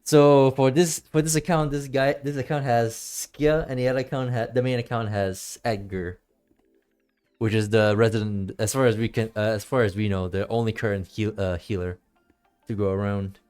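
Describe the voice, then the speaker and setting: A man streaming a game with casual commentary, thinking aloud in a halting, rambling way and repeating his phrases, with game music behind him. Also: on the low side, fairly even intonation, darkish tone, clear, resonant tone.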